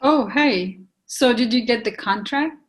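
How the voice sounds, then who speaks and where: A woman's voice: on the high side, measured pace, lightly acted. A woman playing a distressed youthful woman voicing her text messages in a play reading. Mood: uneasy.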